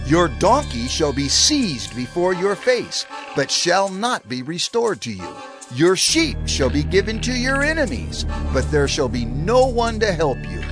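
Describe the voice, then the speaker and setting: A man playing a stern prophet in a dramatized audio Bible, declaiming solemnly. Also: a little high. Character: stern male prophet pronouncing divine blessings and curses. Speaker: scripture narrator. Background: music.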